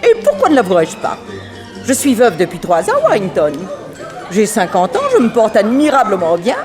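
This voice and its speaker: An actress playing a fifty-year-old English society widow and aunt, haughty, effusive, flustered and lovestruck, in a 1960s French radio play. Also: female voice, mid-range voice, very animated, moderate pace, darkish tone, natural voice. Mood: lovestruck confiding. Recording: old radio drama with dance music and crowd.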